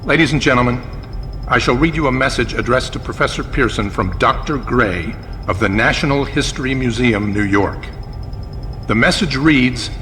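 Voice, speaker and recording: Formal, bright-voiced radio news reporter. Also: male voice, medium pitch, in a large hall. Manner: formal, deliberate announcer delivery. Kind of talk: old-time radio news broadcast acting.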